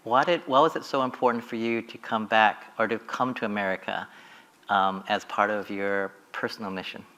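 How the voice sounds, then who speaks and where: Man asking halting interview questions. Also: lowish voice, steady tone, pushed and bright. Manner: halting, repeats words as he frames questions.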